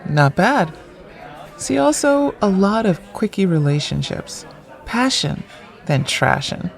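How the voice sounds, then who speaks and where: A woman's voice: mid-range voice, sing-song, slow pace, creaky, a faint crowd, heavily acted. An actress playing a witty, frank woman on a friendly date in an audio drama, with wry, clipped quips. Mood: earnest, wry.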